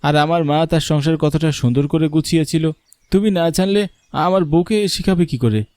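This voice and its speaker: Caring young husband for a cartoon, acted by a man; mellow, slightly high voice, gently persuasive. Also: moderate pace, no echo, close to an everyday voice. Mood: persuasive, gentle. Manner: coaxing, reasoning. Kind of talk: animated story character acting.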